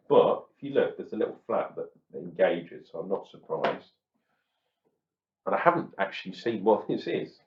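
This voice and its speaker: A man, husky and crackly from a cold, chatting casually through a beer review. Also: lowish voice. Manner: chatty and self-deprecating, muttering asides. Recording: slightly dull lo-fi sound.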